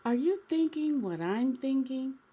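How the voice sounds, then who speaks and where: A woman voicing eager, imaginative little boys from a storybook in an excited, emphatic manner. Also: sits high, unhurried, breathy edge, phone-quality sound, heavily acted. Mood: determined.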